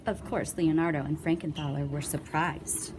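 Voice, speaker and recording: A woman's voice: low-pitched, fairly even intonation, normal speed, noisy background. A woman reading a children's picture book aloud with playful, dramatic emphasis and little asides. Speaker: read-aloud storyteller.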